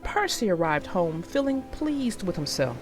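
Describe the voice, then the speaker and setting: A woman reading a children's storybook aloud in an animated storytelling manner. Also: on the low side, unhurried, over music. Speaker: storybook narrator.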